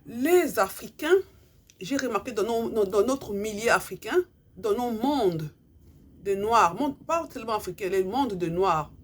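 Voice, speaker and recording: Husky-voiced woman. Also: mid-range voice, lively intonation, measured pace. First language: Lingala. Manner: earnest, repeats herself, hunts for words. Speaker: vlogger. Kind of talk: impassioned monologue to subscribers.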